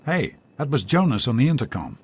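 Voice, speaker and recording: An actor playing a calm, caring middle-aged father, reassuring and measured, in a video game. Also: medium pitch, slight background noise. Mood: gently encouraging.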